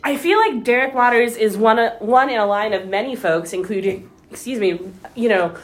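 A woman's voice: fairly high pitch, moderate pace. Chatty eager female interviewer. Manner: chatty, eager, lots of "like" and "you know". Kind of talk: casual conversation.

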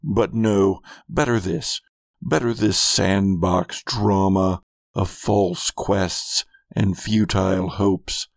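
A man's voice: lowish voice, creaky, a little mellow, no room sound, heavily acted. A man voicing a scheming, contemptuous immortal villain in a grandiose, brooding monologue for a fiction podcast. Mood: disdainful, brooding.

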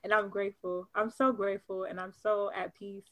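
A young woman. She tells her story with lively, animated energy and says "like" often, in a voice of middle pitch. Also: fairly quick. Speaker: podcast guest. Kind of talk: casual chat.

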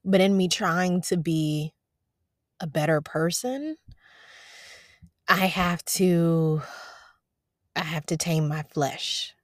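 A low-pitched woman hosts a devotional podcast from her parked car, preaching in an easy, conversational way. Her voice is going a bit raspy, and the sound is close and dry. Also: steady tone, slow pace, pauses often, dry recording. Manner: conversational, preachy, addresses listeners as y'all. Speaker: podcast host.

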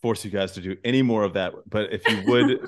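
A man's voice: fairly low pitch, brisk. A man hosting a podcast in a jokey, informal, conversational way. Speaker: podcast host. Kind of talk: casual comic chat.

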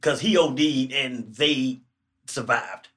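A man's voice: medium pitch, slow, bright, forceful tone. A man co-hosting a casual podcast, telling stories in an easygoing way. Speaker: podcast co-host. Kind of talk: casual chat.

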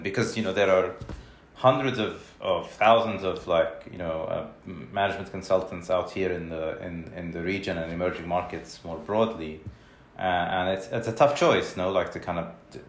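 A man's voice: deep voice, echoey room. A man hosting a podcast interview, asking questions with frequent restarts and fillers. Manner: halting, many restarts and fillers. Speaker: podcast host. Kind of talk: casual interview.

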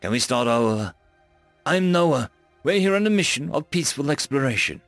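A man's voice: medium pitch, animated, moderate pace, a bit bright, no room sound, soft background music. A man voicing a friendly male human starship captain who talks earnestly and gets a little flustered.

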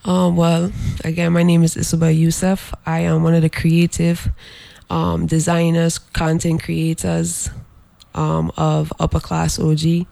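Man, gravelly and flat, halting guest spot with lots of ums, dry sound. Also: on the high side, no echo. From Saint Croix, US Virgin Islands. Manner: halting, many filler pauses. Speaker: guest, fashion brand designer. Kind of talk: interview promoting an event.

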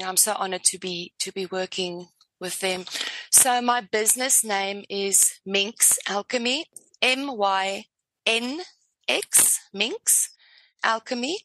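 South african lady guest on a podcast, super enthusiastic, bright sharp voice, dry no room sound. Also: mid-range voice, no echo, low-quality recording. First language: Afrikaans. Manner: effusive, enthusiastic, searching for words. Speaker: spiritual life coach guest. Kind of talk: casual podcast interview.

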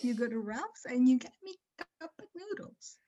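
A woman's voice: high-pitched, big pitch swings, moderate pace, warm tone. A woman playing an exasperated wife who pretends to be a space captain, bickering insistently in an improvised comedy sketch. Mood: irritated.

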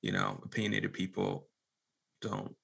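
A man's voice: fairly low pitch, completely flat delivery, normal speed, vocal fry, a bit bright, breathy edge. A man speaking as a podcast guest in a casual interview, opinionated and emphatic, often repeating words as he makes his point.

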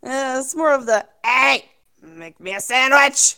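A woman's voice: high-pitched, a little slow, creaky, pushed and bright, smooth. A woman, a dungeon master, chatting casually on a podcast, hesitant and self-deprecating.

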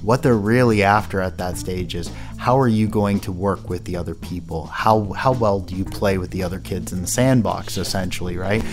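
Enthusiastic Midwestern man, low voice. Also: moderate pace, few pauses, music in the background. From Midwestern United States. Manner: energetic, uses rhetorical questions and emphatic phrasing. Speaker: career centre manager, guest. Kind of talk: casual interview answers.

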